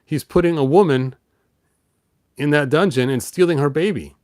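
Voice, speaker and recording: A man's voice: a little high, moderate pace, darkish tone, no echo, natural voice. Guy reading as a worried young hero girl in a kids book, earnest, easily fooled, dry audio. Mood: shocked.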